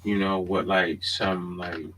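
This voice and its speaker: A man's voice: deep voice, flat delivery, slow pace, fairly bright tone, a little hiss or hum, rough recording. A man with a Baltimore accent chatting casually as a podcast co-host, often checking in with "you know what I mean". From Baltimore, United States. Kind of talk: casual unscripted chat.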